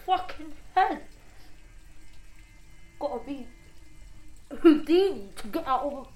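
A woman's voice: high voice, a bit bright, slight background noise, full character voice, poor audio. A young woman acting an anxious, sardonic character in an intimate first-person audio drama, candid, wry and sweary. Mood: exasperated. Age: young adult. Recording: studio drama with prominent music underneath. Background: music and sound design.

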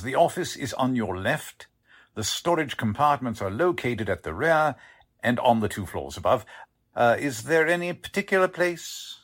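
A fussily formal warehouse manager from a 1940s radio mystery. His husky voice swings up and down as he politely, hesitantly explains and defends himself. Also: mid-range voice, fairly bright tone. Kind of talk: 1940s radio drama acting.

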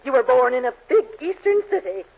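An actress playing a bossy, quick-tempered matron in a 1940s radio sitcom, brisk and commanding. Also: female voice, high voice, very animated, breathy edge, heavily acted. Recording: dull, hissy 1940s radio broadcast.

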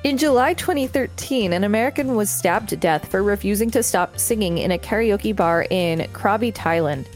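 A woman's voice: medium pitch, normal speed, few pauses, faint music behind. A woman co-hosting a podcast, reading articles aloud with casual remarks. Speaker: podcast co-host.

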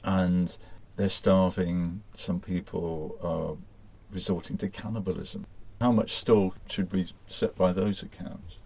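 A man's voice: sits low, steady tone, slow, few pauses, a little mellow, husky. A man hosting an interview, setting out points and putting questions to his guests. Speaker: interviewer, host.

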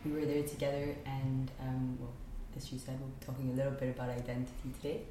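Young woman from Spain, very low voice, chatty podcast guest full of ums, booming echoey room. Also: level tone, fast talker. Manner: chatty, frequent ums, laughs often. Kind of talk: casual conversational chat. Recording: very echoey room with faint noise.

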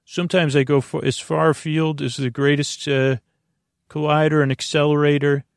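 Male sleep-podcast host, heavy vocal fry, droning, halting, meandering improvised monologue, goofy and self-deprecating, very dry sound. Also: on the high side, flat delivery, no room sound. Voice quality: creaky. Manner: meandering, halting, repetitive, with trailing tangents; drawn-out words, droning. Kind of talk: rambling improvised bedtime monologue.

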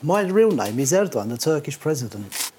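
A man's voice: on the high side, sing-song, brisk, dark tone, slight background noise. A man in his sixties with a London accent, casually telling his life story in colloquial, sweary speech. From born in Cyprus, grew up in north London. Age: 60s. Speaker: interviewee. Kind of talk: casual oral history storytelling.

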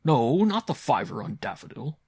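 A man's voice: mid-range voice, sing-song, moderate pace, darkish tone, slightly rough, dry recording, studio quality, pitched above the performer's own voice. A man playing a jovial, teasing uncle in a story, hearty, amused and a little patronising.

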